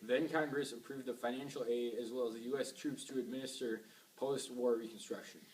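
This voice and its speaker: A man's voice: medium pitch, fairly even intonation, brisk, darkish tone. Young guy reading homemade news, mid voice, roomy echo, kinda far off. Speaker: news co-presenter in a history project. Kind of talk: scripted amateur news report. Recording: echoey, distant mic, faint noise.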